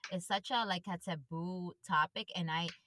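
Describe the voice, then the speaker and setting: Bright-voiced woman from Ecuador hosting a chat. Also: lowish voice, normal speed, a little hiss or hum. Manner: chatty, many fillers, mixes in Spanish phrases. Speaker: podcast host. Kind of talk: casual interview chat.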